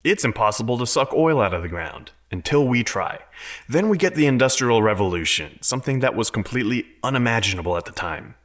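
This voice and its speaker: A man's voice: mid-range voice, very animated, a little fast, a bit bright. An actor playing a confident, open-minded scientist in an audio drama, lecturing earnestly and arguing his case. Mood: insistent. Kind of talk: dramatic audio fiction acting.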